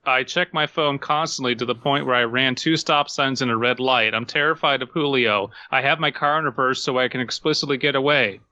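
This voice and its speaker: A man's voice: medium pitch, fairly quick, few pauses, sharp tone, dry recording, lo-fi sound. A man co-hosting a comedy podcast, reading a story and picking at it with sarcastic commentary. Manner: sarcastic, nitpicking. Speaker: podcast co-host.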